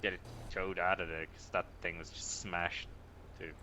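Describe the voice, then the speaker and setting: A man's voice: low voice, little pitch movement, normal speed, pushed and bright. A man playing a drunk, evasive motorist in a game roleplay, mumbling in slangy, noncommittal phrases. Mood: evasive, muddled. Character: drunk, evasive, slow-witted male motorist. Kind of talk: improvised online game roleplay.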